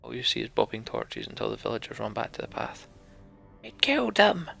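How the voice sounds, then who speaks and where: Gravelly, bright male game narrator. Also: on the low side, completely flat delivery, quick pace, soft background music. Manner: casual, sarcastic asides with swearing. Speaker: let's play host. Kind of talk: reading game text aloud with asides.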